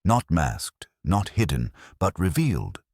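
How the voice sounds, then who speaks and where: Man voicing a very deep, imperious older detective. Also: unhurried, slightly rough, no echo, studio quality, heavily acted. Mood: grave, commanding. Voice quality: heavy, weighty. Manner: formal, flat, commanding pronouncements. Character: stern, calculating older male detective genius, imperious and unhurried.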